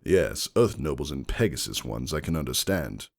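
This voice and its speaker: A man performs an indignant aristocratic unicorn stallion from a fantasy story. He is forceful and accusatory, pushing his points hard in a low, gravelly voice that swings widely in pitch. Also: very animated, moderate pace, no echo, studio quality, exaggerated voice. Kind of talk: dramatic fan fiction reading.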